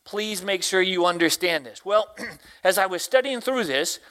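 A man's voice: sits high, expressive, moderate pace, bright, forceful tone, clear, resonant tone. A middle-aged man from Tennessee with a Southern accent, preaching a folksy sermon to a congregation and asking them questions.

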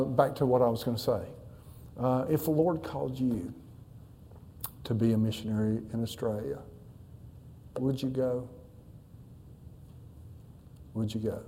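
A man's voice: medium pitch, warm tone, a little hiss or hum. A middle-aged man, a missionary pastor, talking to a church congregation in a folksy way with many fillers and restarts.

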